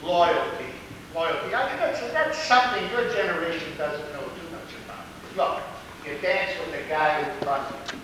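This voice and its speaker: Very echoey, distant stage recording with clear background noise. A man acts as a slick, hard-boiled middle-aged art dealer. He sounds cynical and defensive, with a high voice for a man. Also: moderate pace, close to an everyday voice. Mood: worldly, self-justifying. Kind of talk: amateur stage play acting.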